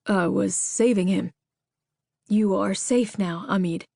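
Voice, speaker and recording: A woman reading an audiobook, voicing a tall, dazed male ex-army cowboy with a Southern drawl who speaks slowly and haltingly. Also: mid-range voice, gravelly, darkish tone, no echo, studio quality. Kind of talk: audiobook character voice.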